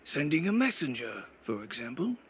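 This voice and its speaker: A man's voice: fairly high pitch, very animated, normal speed, a bit bright, a little hiss or hum. A man voicing a wry, guarded, world-weary businessman in a video game, speaking formally and dryly.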